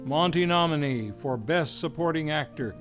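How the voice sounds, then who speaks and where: A man's voice: fairly high pitch, moderate pace, a bit bright, soft background music. An American man narrating as an old-time radio announcer, with a theatrical, grandiose delivery. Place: radio studio.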